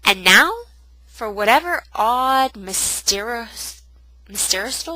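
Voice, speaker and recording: A woman's voice: a little high, expressive, slow, pushed and bright, no echo, rough recording. A young woman with a cold, sounding nasal and sniffly, chatting in a casual, self-mocking home vlog. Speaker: vlogger. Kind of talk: casual pregnancy update vlog.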